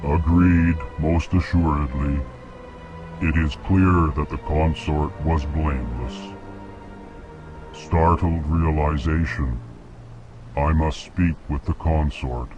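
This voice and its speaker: A man voicing a courteous, emotionless alien diplomat in a video game, speaking in a slow monotone and announcing each emotion first. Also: rumbling low, lo-fi sound, over music. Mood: flat, formal.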